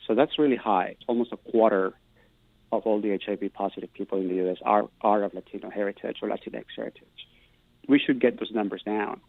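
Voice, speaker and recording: A man's voice: fairly low pitch, steady tone, fast talker, creaky, no echo, narrow, tinny audio. A middle-aged man from Peru, giving earnest, thoughtful interview answers and restarting words as he searches for them. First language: Spanish. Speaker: guest, Spanish professor.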